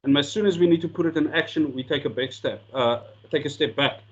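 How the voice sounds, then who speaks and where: A man's voice: fairly high pitch, quick pace, slightly rough, muffled recording. A South African man whose first language is Afrikaans, giving an earnest coaching talk with slides and often repeating words as he restarts sentences. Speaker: sport coaching lecturer.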